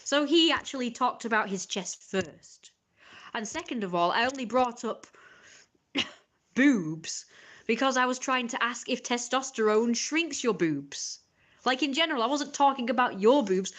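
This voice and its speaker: A woman streamer ranting in casual live chat. She talks fast and exasperated, with mocking asides that quote other people. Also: sits high, fairly bright tone. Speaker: livestreamer.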